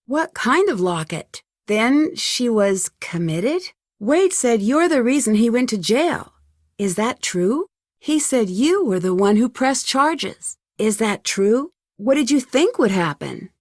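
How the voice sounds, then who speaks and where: A young American woman voicing an earnest, curious and polite amateur detective in an adventure game, with clearly enunciated lines. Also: a little high, big pitch swings, slow, no room sound, studio quality, full character voice. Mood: earnest questioning. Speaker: voice actor for a game's detective heroine. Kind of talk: scripted adventure game dialogue.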